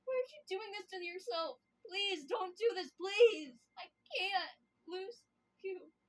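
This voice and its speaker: A woman's voice: extremely high pitch, lively intonation, slow, recorded from a distance, pitched above the performer's own voice, exaggerated voice. A woman voicing a desperate young man who pleads with a breaking voice in a storybook reading.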